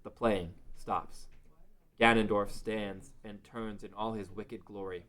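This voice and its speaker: Low-pitched male story narrator. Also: fairly even intonation, slow, a bit bright. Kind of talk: scripted story narration at a table read.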